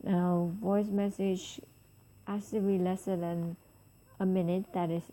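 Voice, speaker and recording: A woman hosting a step-by-step app tutorial, explaining in halting, explanatory phrases. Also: lowish voice, level tone, dark tone, poor audio. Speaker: tutorial host.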